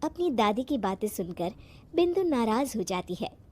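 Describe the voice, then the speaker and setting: Woman storyteller, high voice, reading a scripted kids moral tale. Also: big pitch swings, normal speed, mellow. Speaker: story narrator.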